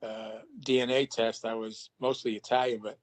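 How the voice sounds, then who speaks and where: A man talks over a video call in a relaxed, loose way. He circles back on his own words, restarts sentences and repeats phrases as he talks about paranormal work. His voice sits at an ordinary middle pitch. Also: normal speed. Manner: rambling, repeats himself with many restarts. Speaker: paranormal investigator. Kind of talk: casual video-call chat.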